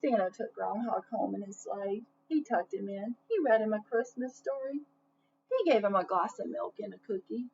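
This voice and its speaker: A woman's voice: medium pitch, normal speed, vocal fry. A woman reading a storybook aloud for children, expressively, in the manner of someone addressing young listeners. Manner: expressive, asks listeners questions. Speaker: storybook reader. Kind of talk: children's read-aloud narration with asides. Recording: dull lo-fi sound, faint noise.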